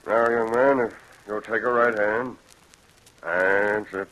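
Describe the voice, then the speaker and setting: An actor playing a genial, folksy judge who is easily rattled, in old radio drama style. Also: male voice, lowish voice, fairly even intonation, slow pace, a bit bright, low-quality recording. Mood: genial, officiating. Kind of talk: 1940s radio acting.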